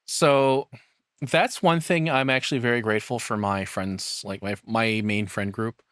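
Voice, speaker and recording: A man's voice: mid-range voice, fairly even intonation, a little slow, fairly bright tone, smooth, no echo, studio quality. A man giving casual, rambling reaction commentary as a streamer, speaking informally and swearing freely.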